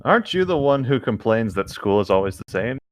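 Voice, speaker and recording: A man's voice: medium pitch, big pitch swings, a little fast, no echo. A man chatting casually and reading aloud among friends. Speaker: co-host of a comedic group read-along.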